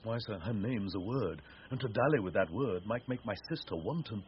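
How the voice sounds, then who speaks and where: A man playing an impudent jester, animated. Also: lowish voice, fairly quick, lightly acted. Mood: playful, clever. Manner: nimble, teasing wordplay. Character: witty male jester, impudent, wordplaying and wheedling. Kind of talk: Shakespearean radio comedy acting. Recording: dull radio recording, faint noise.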